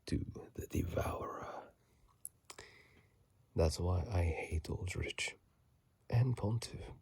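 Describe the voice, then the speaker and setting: A man giving casual, halting ASMR commentary in a hushed, breathy whisper, sleepy and close to a phone microphone. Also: low-pitched, no room sound. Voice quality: breathy, gravelly soft whisper. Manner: slow, halting, hushed delivery. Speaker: video host.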